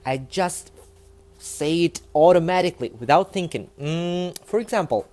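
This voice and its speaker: A young man with a Russian accent, a native Russian speaker, talking to the camera in a casual, animated and emphatic way, with many fillers. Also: on the high side, moderate pace. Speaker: language learning vlogger.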